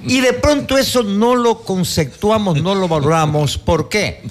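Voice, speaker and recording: A man's voice: high voice, a little slow. A man, speaking emphatically on a sports talk panel and posing questions to the others.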